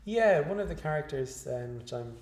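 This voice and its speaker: A male researcher giving an interview after a lecture, speaking in a measured, hesitant way with many fillers and restarts. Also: a little high, animated, a little mellow. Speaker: guest researcher. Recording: echoey room.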